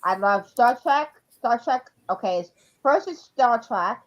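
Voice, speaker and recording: A woman in her forties chatting casually on a live stream, rambling and filling pauses with ums. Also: a little high, expressive, low-quality recording. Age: 40s. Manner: rambling, many ums while listing. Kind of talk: casual chat.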